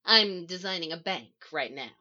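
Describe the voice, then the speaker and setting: A woman's voice: lowish voice, animated, moderate pace, sharp tone, dull audio. A woman reading as a mild, tired male supervillain in a story, matter-of-fact and subdued.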